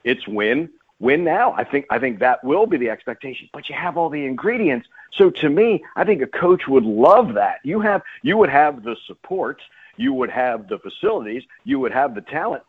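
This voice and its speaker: A man's voice: mid-range voice, very animated, moderate pace, slightly rough. A man speaking as a radio guest and play-by-play announcer, giving emphatic sports commentary and repeating phrases for stress. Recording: phone-like narrow-band radio line.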